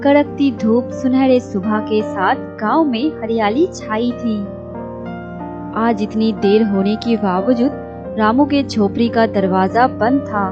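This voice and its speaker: A woman giving scripted narration for a children's animated story. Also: on the high side, slow pace, lo-fi sound. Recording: music behind the narration.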